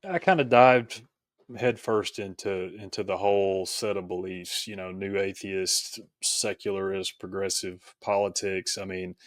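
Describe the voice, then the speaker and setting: A man from rural Tennessee talks as a guest in a reflective interview. His voice sits fairly low and is captured dry, with little room sound. He explains things conversationally, in halting phrases. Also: steady tone, dry recording. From rural Tennessee, United States. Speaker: podcast guest, author.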